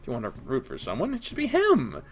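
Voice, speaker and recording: A man's voice: mid-range voice, wildly swooping pitch, fast talker. A man playing a polite, modest young male athlete who talks hesitantly and wryly. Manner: modest, hesitant, dryly wry.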